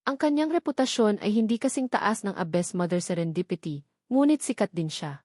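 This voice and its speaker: Woman, medium pitch, scripted novel narrator, dry close sound. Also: lively intonation, fairly quick, a little mellow, dry recording. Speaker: audiobook narrator.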